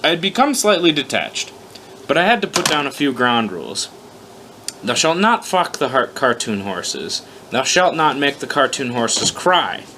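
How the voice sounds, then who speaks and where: A man's voice: mid-range voice, big pitch swings, moderate pace, pushed and bright, a little hiss or hum. A man hosting an informal reading of his own fan fiction, swearing casually, mocking himself and stumbling over his words. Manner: self-deprecating, profane, stumbling over lines. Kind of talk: casual profane commentary and informal story reading.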